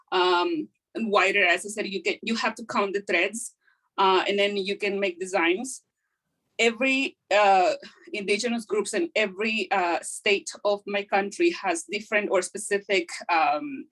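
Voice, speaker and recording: A woman's voice: medium pitch, a bit bright, poor audio. Woman teaching a craft. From Guatemala. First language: Kaqchikel. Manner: hesitant, explanatory, frequent fillers. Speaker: craft instructor. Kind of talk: hands-on teaching. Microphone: video call.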